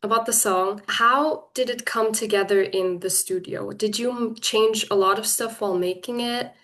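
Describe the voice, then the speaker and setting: A woman's voice: medium pitch, steady tone, moderate pace, fairly bright tone. A young woman interviewing a music artist, enthusiastic and chatty like a fan.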